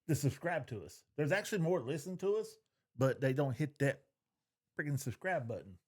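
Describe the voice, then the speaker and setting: Animated, folksy man bantering on a podcast. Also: a little high, normal speed. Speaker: podcast co-host. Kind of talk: casual banter.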